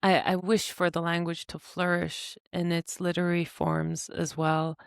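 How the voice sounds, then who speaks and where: A woman's voice: lowish voice, little pitch movement, a little slow, gravelly, smooth, no echo. A young woman with a light Turkish-tinged accent, co-hosting a casual podcast in a home studio, talking animatedly with frequent fillers and laughs. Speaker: podcast co-host.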